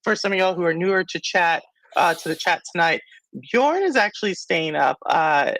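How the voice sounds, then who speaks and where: A woman's voice: on the low side, expressive, normal speed, fairly bright tone. A woman hosting a live-stream talk show, chatting casually, talking to the viewers and laughing often. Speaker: podcast host.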